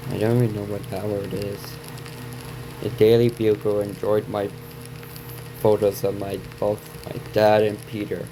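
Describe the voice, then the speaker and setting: Male reaction host, lower register, halting read-aloud with stumbles and casual asides, clearly noisy hissing recording. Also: little pitch movement. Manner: halting reading, stumbling over words and repeating them. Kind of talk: reading on-screen story text aloud with asides.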